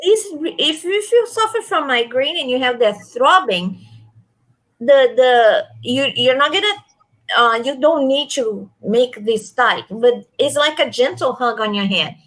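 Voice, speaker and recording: A woman's voice: high-pitched, lively intonation, unhurried, a bit bright, low-quality recording. A woman with an accent she says comes from a stroke, chatting on a livestream in an emphatic way, repeating herself and restarting her sentences. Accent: non-native, from a stroke. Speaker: livestream guest. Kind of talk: casual chat.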